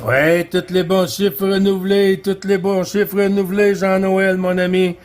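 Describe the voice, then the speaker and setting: A man with a Quebec French accent and a slightly high voice runs a casual CB radio contact. He repeats his call phrases and addresses the other operator in a friendly, familiar way. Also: little pitch movement, a little slow, faint music behind. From Quebec, Canada.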